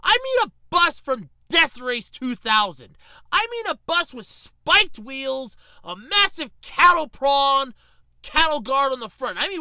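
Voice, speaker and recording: A woman rants at her viewers in a bright, forceful voice that swings widely up and down. She is sarcastic and exasperated, leaning hard on her words. The sound is dry, with no room around it. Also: high-pitched, no room sound. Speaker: video ranter. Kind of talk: opinionated rant to viewers.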